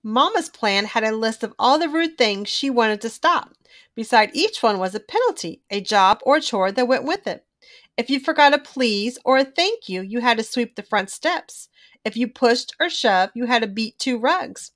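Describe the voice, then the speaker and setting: Animated higher-voiced woman reading to children. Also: normal speed. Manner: animated, emphatic, draws out key words. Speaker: children's book reader. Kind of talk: expressive read-aloud storytelling for children.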